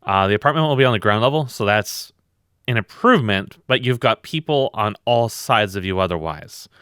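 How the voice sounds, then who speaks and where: A tired man with a loud voice, co-hosting a podcast in a blunt, rambling, swearing chat. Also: on the low side, lively intonation, brisk, clean tone, no echo, studio quality. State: very tired from lack of sleep. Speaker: podcast co-host.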